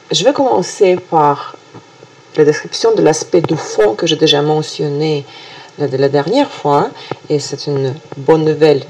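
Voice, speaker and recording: A woman with a Russian accent, a native Russian speaker, presenting a weekly horoscope video in a halting way full of hesitations and restarts. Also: low voice, warm tone, low-quality recording. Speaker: astrologer.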